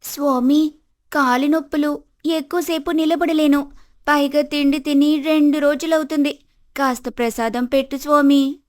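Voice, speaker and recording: A woman's voice: high-pitched, fairly even intonation, moderate pace, close to an everyday voice. A woman playing an anxious, obedient young daughter-in-law in a moral story, with a worried, whiny manner. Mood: pleading. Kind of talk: Telugu moral-story character acting.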